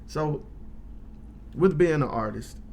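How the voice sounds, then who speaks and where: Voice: mid-range voice, animated, slow pace, darkish tone, a little hiss or hum. An interview host reacting briefly and asking loose, encouraging questions. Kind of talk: casual interview.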